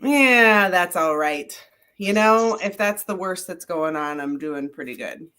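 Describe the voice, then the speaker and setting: A woman's voice: fairly low pitch, animated, measured pace, sharp tone. A middle-aged woman with a head cold, sounding congested as she co-hosts a running podcast in easygoing, good-humored banter. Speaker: podcast co-host. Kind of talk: casual chat.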